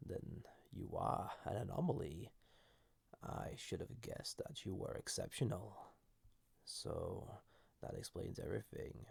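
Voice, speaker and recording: Man voicing gravelly, slow, ancient banished villain. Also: low voice, darkish tone, no room sound, heavily acted. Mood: intrigued. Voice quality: gravelly, husky. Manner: slow, deliberate, intimate, with pauses. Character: banished male ancient villain entity, flirty and dangerous. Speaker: audio roleplay voice actor. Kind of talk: intimate scripted audio roleplay addressed to listener.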